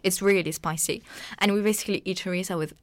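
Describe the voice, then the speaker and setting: A young woman from Tunisia, an Arabic speaker, chatting as a podcast guest in a lively way, laughing often and using lots of fillers. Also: fairly low pitch, fast, no echo, studio quality. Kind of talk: casual podcast chat.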